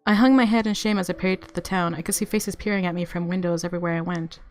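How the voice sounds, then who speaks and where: A woman narrating a visual novel aloud as a game streamer, with expressive reactions and music underneath. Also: on the low side, quick pace.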